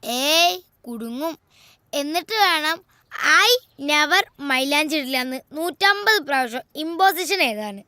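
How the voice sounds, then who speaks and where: A headstrong young schoolgirl with a high, bright and forceful voice. She talks insistently and cheekily, like a daughter arguing at home in a naturalistic film drama. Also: animated, a little slow, no room sound, clean studio sound, full character voice. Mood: playful, teasing.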